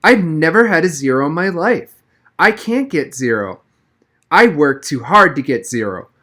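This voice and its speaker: A man voicing an indignant, anxious, high-achieving schoolgirl in an audiobook story. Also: very animated, normal speed, bright, forceful tone, full character voice. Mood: frantic. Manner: bawling, frantic.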